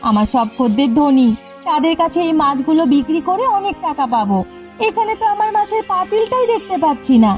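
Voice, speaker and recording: Woman doing a high-pitched, pushy younger sister. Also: animated, brisk, darkish tone. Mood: agitated. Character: pushy, money-minded younger sister, village fish seller. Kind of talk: Bengali cartoon dialogue. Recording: slightly muffled audio with background music. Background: background music.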